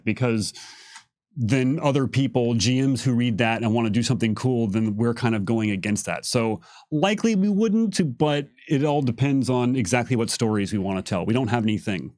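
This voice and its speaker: A man's voice: medium pitch, brisk, a little mellow, no echo, studio quality. A man answering lore questions as a game developer, explaining off the cuff and often rephrasing himself mid-sentence. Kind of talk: unscripted explanatory talk.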